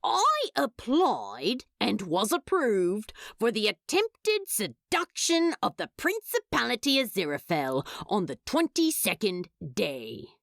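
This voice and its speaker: A woman's voice: mid-range voice, huge pitch swings, slow pace, gravelly, hardly any pauses, fairly bright tone, no room sound, studio quality, full character voice. A woman voicing a cocky, dramatic demon in a fan fiction audiobook, drawling and grumbling with theatrical grievance. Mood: smug, proud. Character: cocky dramatic demon, sullen yet showy, theatrically aggrieved.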